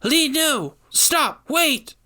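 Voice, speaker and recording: A man's voice: very high-pitched, lively intonation, drawn-out words, sharp tone, pitched above the performer's own voice, heavily acted. A man voicing a cruel, commanding witch in an audio story, sickly sweet with menace. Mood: desperate screaming. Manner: sickly sweet veil over shrieking menace.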